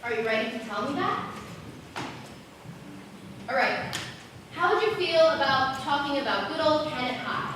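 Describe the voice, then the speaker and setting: A woman's voice: sits high, animated, normal speed, pauses often. A young woman playing a troubled, guarded teenage girl in an amateur school stage play. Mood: gentle, probing. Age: teen or young adult. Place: school stage, recorded from far off. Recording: echoey stage, far mic, noisy.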